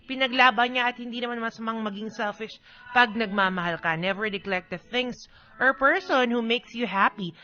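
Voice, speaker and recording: Bright, emphatic Filipino female radio DJ. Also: on the high side, moderate pace, dull audio. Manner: emphatic, blunt, scolding advice. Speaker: radio DJ co-host. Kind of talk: casual radio advice banter.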